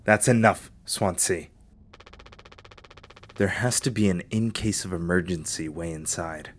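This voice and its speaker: A man voicing the pragmatic, commanding acting captain of a stranded spaceship in a video game fan dub, serious and measured. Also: sits low, a little hiss or hum. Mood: firm.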